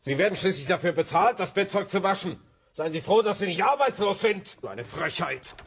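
A man's voice: high voice, animated, a little fast, noisy background. A man playing an irritable, haughty student in a German radio drama, with a snappish, sarcastic manner. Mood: irritated, sarcastic.

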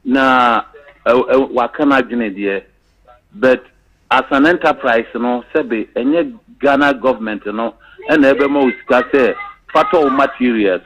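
A man calling in to a radio show, talking in a halting way with many fillers. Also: mid-range voice, fairly bright tone, low-quality recording. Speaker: caller.

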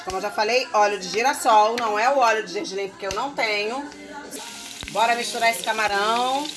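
A woman's voice: fairly high pitch, noisy background. A woman in her forties from Brazil, chatting straight to viewers while she cooks in her home kitchen, filmed on a phone held close. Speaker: home cooking vlogger. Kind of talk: casual cooking vlog. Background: food sizzling in a pan, music.

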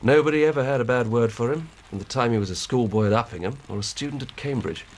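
An English actor playing an earnest, plain-spoken police inspector in a radio drama, reporting methodically and emphatically. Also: male voice, mid-range voice, fast talker, darkish tone, close to an everyday voice. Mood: earnest, matter-of-fact. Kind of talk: 1990s British radio drama acting.

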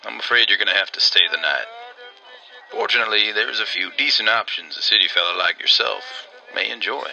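Man playing a gravelly, guarded small-town railman. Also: lowish voice, a little fast, bright, forceful tone, soft background music, heavily acted, low-quality recording. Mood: apologetic, matter-of-fact. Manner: dry, matter-of-fact. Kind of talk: old-time radio drama acting.

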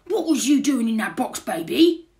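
A woman voicing a gruff but kindly male burglar from a children's storybook, with colloquial, ungrammatical speech. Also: mid-range voice, big pitch swings, moderate pace, fairly bright tone. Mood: startled.

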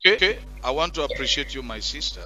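A male pastor leading a deliverance prayer and counseling on stage through a headset mic, commanding and forceful. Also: mid-range voice, lively intonation, fast, soft background music. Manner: commanding, shouting at spirits. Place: church stage with curtain backdrop.

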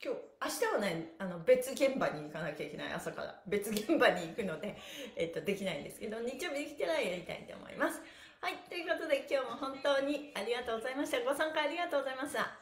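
A middle-aged woman hosting a live stretching class in an animated, cheerleading way, chatting with viewers as she instructs. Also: fairly high pitch, fast. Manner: animated, cheerleading, frequent laughing. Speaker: stretching instructor and livestream host. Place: small bare-walled indoor room with wooden floor. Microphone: camera a metre or two away. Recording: echoey room.

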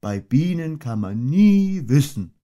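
A man putting on a high voice for a slow, earnest, muddled little teddy bear in a storybook reading. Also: sing-song, warm tone. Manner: slow, earnest, ponderous, sing-song.

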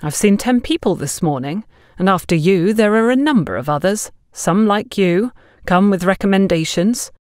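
A woman voicing a stern, frank middle-aged businesswoman in an audiobook, brisk and no-nonsense. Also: medium pitch, animated, a little mellow, no room sound, clean studio sound, natural voice. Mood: stern, skeptical.

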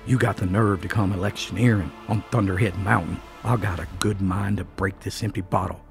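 Burly, hard-drinking backwoods farmer with a low, slow, grumbling drawl, as a man puts him on. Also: fairly even intonation, vocal fry, over music. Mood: angry. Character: burly, gruff, drunk male mountain farmer.

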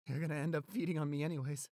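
A young man acting an anxious, devoted boyfriend in a roleplay audio, halting and stammering as he pleads. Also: fairly high pitch, dark tone, dry recording, studio quality, lightly acted.

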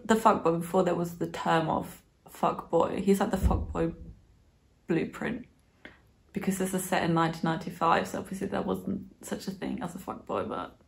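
A woman's voice: medium pitch, vocal fry. A bilingual German and English speaking woman in her twenties, chatting casually and thoughtfully about books to camera. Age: 20s. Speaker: book vlogger. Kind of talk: casual chat to camera, book review. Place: small living room, on a sofa indoors.

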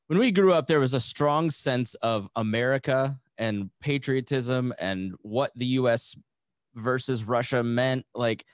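A man's voice: mid-range voice, a little slow, no room sound, clean studio sound. A man in his thirties hosting a podcast in a casual, conversational style. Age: 30s. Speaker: podcast host.

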